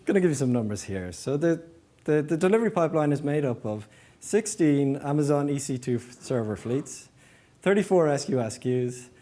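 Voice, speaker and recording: Mellow, higher-pitched man giving a talk. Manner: explanatory, poses rhetorical questions. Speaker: engineering manager giving a talk. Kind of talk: prepared conference-style presentation.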